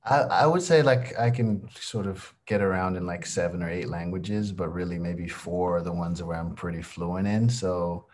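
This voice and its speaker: A young man from Nigeria whose first language is Yoruba, talking as an interview guest over a video call in an energetic, playful, slangy way and laughing a lot. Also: deep voice, moderate pace, hardly any pauses, slight background noise. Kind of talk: casual interview. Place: home in Bali.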